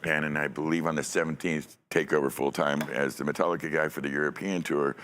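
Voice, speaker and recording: A middle-aged man hosting a podcast from a dark studio set, talking on a studio mic in a rambling, profane way and laughing often. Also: extremely low pitch, little pitch movement, fast talker. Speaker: podcast host. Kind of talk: casual chat. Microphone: studio mic on a stand.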